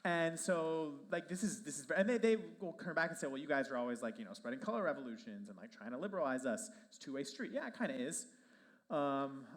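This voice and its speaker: A man's voice: a little high, animated, fast. A man speaking on a panel in a casual manner, full of "like" and "um". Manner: casual, lots of "like" and "um". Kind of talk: panel discussion.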